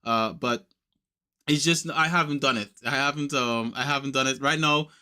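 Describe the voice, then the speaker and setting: A man with an English accent, originally from Trinidad, talks loosely on a podcast. His voice is bright and forward, and he rambles with frequent restarts and fillers. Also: medium pitch, moderate pace, smooth. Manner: talkative, many restarts and fillers. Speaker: podcast co-host. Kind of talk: casual chat.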